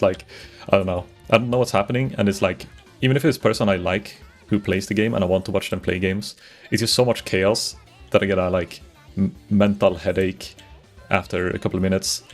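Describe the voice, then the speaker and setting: Swedish man, game streamer, very low voice, gravelly fry, rambling chat with faint music behind. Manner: rambling, chatty, greets viewers, frequent restarts. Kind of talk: casual live-stream chat while gaming.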